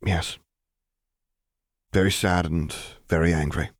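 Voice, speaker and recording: Audiobook mother, played by a man: dangerous, controlled, measured delivery with simmering edge. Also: deep voice, steady tone, dry recording, clean studio sound. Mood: solemn, measured. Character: stern, simmering young mother, dangerous and caustic.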